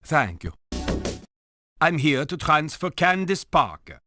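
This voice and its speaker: A man's voice: on the high side, very animated, takes it slowly, music in the background. A man playing a disguised agent who poses as a curt, impatient senior officer in a video game. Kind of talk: video game cutscene acting.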